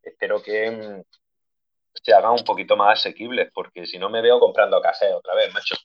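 Man, low voice, casual and jokey, swears a lot, lo-fi muffled sound. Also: a little fast, slight background noise. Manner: relaxed, swears freely, jokey. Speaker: band guitarist. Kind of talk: casual interview chat. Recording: dull lo-fi recording.

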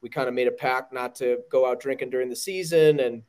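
A man with a loud voice, talking earnestly and emphatically as a guest in an interview, often restarting his sentences. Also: medium pitch, fairly even intonation, a little fast, clear, resonant tone. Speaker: podcast guest, running team director. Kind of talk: conversational interview.

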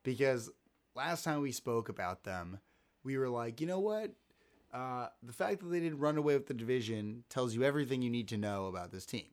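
A man's voice: mid-range voice, lively intonation, fairly quick. A man with a scratchy throat, hosting a casual sports podcast and rambling with many restarts. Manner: rambling, self-interrupting. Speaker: podcast host.

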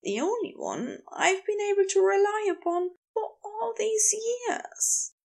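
A woman's voice: very high-pitched, sing-song, slow pace, darkish tone, voice pushed up, full character voice. A woman voicing an anxious, tender male angel who speaks in formal, hesitant, apologetic phrases. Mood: anguished, tearful.

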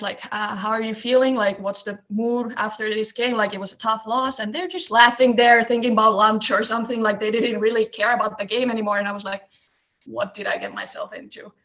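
A woman in her twenties from Eastern Finland with a Finnish accent, telling stories as a guest on a podcast, relaxed and full of fillers. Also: fairly high pitch, fairly quick. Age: 20s. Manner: relaxed storytelling with many fillers. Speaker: guest, basketball coach. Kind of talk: casual podcast interview.

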